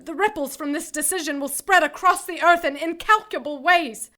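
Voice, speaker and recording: A woman's voice: high voice, fairly quick, sharp tone, slight background noise. A woman playing an anguished angel in an audio drama, imploring and impassioned. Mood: grave warning. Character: anguished, pleading angelic woman condemned by her kin.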